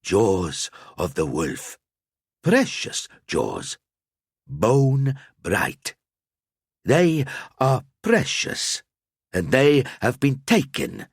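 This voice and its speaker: A man voicing a tiny, cryptic old male alchemist who speaks in slow riddles and tags lines with "eh?". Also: mid-range voice, wildly swooping pitch, slightly rough, dry recording, studio quality, pitched above the performer's own voice, exaggerated voice. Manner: slow cryptic prophecy with tag "eh?".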